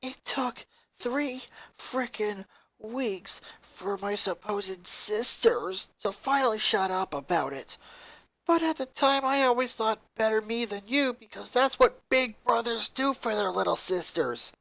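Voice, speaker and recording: A man performs a young boy who is a resentful, wounded big brother in a fan story. He speaks coldly and accusingly, leaning hard on his words, with the pitch pushed up and a dry sound. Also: expressive, measured pace, gravelly, pushed and bright, no room sound, full character voice, rough recording. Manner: cold, accusing, emphatic.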